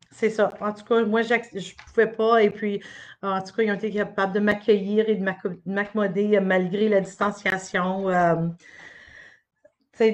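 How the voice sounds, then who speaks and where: A middle-aged woman presents on a live stream, speaking off the cuff. She pauses often and restarts her sentences, and her voice sits at a medium pitch. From Northern Ontario, Canada. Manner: hesitant, many "euh" fillers and restarts. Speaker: education officer giving a presentation. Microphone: webcam or computer mic on a live stream.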